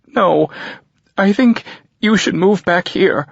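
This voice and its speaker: A man voicing a middle-aged mother on the phone, crying and pleading in a choked, high voice, in an audiobook. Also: slow pace, gravelly, dry recording, heavily acted. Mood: tearful pleading. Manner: pleading, insistent. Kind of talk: audiobook character voice. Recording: dull lo-fi audio.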